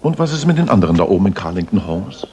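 An imperious, swooping gentleman detective. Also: low-pitched, sing-song, fast talker. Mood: probing, cool. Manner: precise, lecturing, theatrical. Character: sharp, imperious gentleman detective, haughty and self-assured. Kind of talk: German radio play acting, 1980s. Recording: 1980s radio play with audible noise.

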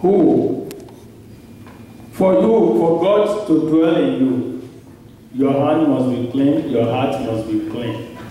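Man, Nigerian accent, preacher, very slow delivery, booming church reverb, heard from afar. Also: mellow, slight background noise. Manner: slow, emphatic preaching with long pauses. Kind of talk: sermon. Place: large church hall. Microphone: handheld mic at a pulpit. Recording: echoey church hall recorded from far off.

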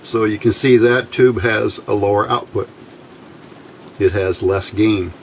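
Low-pitched man explaining at a workbench. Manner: measured, explanatory, pausing between short phrases. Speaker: electronics hobbyist demonstrator. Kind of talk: unscripted hands-on bench demonstration. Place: indoors at a workbench. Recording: steady background room noise.

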